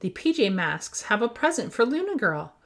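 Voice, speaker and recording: A woman's voice: a little high, very animated, normal speed. A woman voicing a bold, encouraging young boy superhero leader in a children's storybook. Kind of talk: children's storybook character reading.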